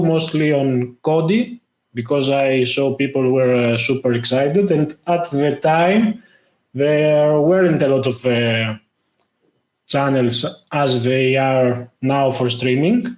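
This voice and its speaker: Man, halting, in a roomy echo. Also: medium pitch, fairly even intonation, darkish tone. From Greece. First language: Greek. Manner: halting, lots of "uh" fillers. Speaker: guest, tech YouTuber. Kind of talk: casual interview talk.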